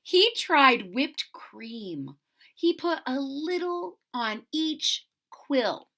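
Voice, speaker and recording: A woman reading a children's story aloud with exaggerated, drawn-out emphasis and chatty asides to her listeners. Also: sits high, big pitch swings, vocal fry, pushed and bright. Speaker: storybook read-aloud host.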